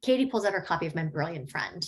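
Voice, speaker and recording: A woman reading screenplay stage directions aloud in a plain, even way at a table read. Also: on the low side, fast talker.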